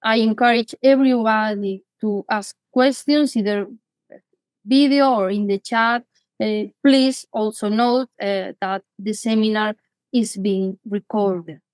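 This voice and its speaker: A woman from Asturias, opening an online academic seminar in a measured way, often repeating words as she corrects herself. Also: mid-range voice, fairly even intonation, no room sound, poor audio. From Asturias, Spain. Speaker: seminar co-organizer and host.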